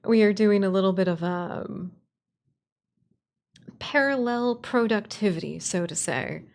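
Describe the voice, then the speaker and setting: A woman's voice: mid-range voice, animated, a little slow, a little mellow. A woman streaming casually as she works, talking in a playful, self-deprecating way. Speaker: streamer, writer and voice actor. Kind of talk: casual livestream chat while working.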